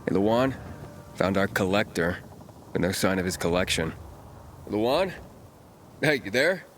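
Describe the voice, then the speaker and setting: Rough-edged game scavenger. Deep, casual, wry delivery, faint ambience behind. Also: male voice, big pitch swings, measured pace, a little hiss or hum. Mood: searching. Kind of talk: video game dialogue.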